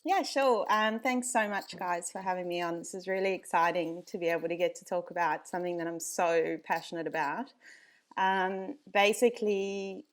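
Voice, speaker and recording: A woman's voice: on the low side, moderate pace. A woman with a South African accent, a dog breeder chatting casually as a podcast guest, with frequent ums and easy laughs.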